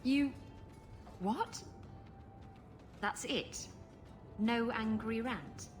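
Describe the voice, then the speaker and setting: A woman's voice: on the high side, drawn-out words, faint music behind. A woman playing a brash, flirtatious pirate captain in a video game, saucy and playful. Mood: teasing. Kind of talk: video game cutscene acting.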